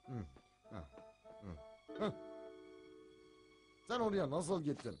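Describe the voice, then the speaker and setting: A man voicing a sarcastic, self-assured, food-loving cartoon cat in an animated dub. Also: on the high side, slow, exaggerated voice. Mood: smug. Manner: wry, self-assured, theatrical. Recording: background music under the voice.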